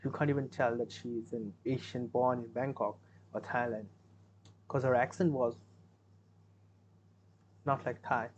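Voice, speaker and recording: A man's voice: mid-range voice, fairly even intonation, measured pace, long pauses, slight background noise, rough recording. A young man with an Indian accent, giving rambling, casual commentary as he reacts to a music video in a small room at his webcam. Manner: rambling, self-correcting, chatty.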